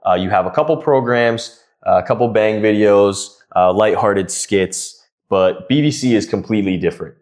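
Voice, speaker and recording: A man's voice: on the low side, moderate pace. A man in his twenties hosting a podcast in a casual, friendly way, with many "uh" fillers. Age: 20s. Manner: relaxed, frequent "uh" fillers, friendly. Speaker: podcast host.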